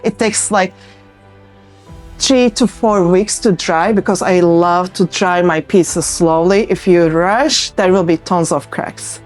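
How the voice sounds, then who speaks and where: A woman's voice: fairly low pitch, slow pace. Turkish-accented woman over background music. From Turkey. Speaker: ceramic artist, interview guest. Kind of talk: casual interview chat about own work.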